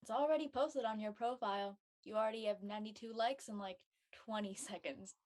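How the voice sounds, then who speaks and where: A woman playing a sensible, helpful high-school girl in an amateur script reading. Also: fairly quick, a little mellow, close to an everyday voice. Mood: matter-of-fact. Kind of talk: amateur audio drama table read.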